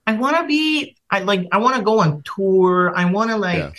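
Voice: mid-range voice, animated, moderate pace, fairly bright tone. A young singer from Bulgaria with a Bulgarian accent, talking casually as a podcast guest and hesitating with many fillers and restarts.

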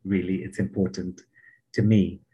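British guy telling stories in an interview, husky voice, laughs a lot, does voices. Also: deep voice, normal speed, muffled recording. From Palestine. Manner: animated anecdotes, laughs often, acts out voices. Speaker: memoir author guest. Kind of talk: storytelling in an interview.

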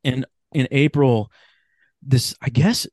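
A man chatting casually as a podcast guest, halting and repeating words as he goes. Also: mid-range voice, darkish tone, no room sound, studio quality. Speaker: podcast guest, writer of radio drama. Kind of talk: casual chat.

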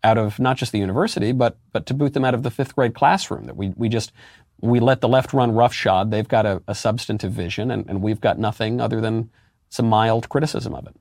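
A man with a deep voice, a guest in a political interview, arguing emphatically with rhetorical questions and sudden jumps in pitch on key words. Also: darkish tone, dry recording, clean studio sound. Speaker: interview guest, conservative commentator.

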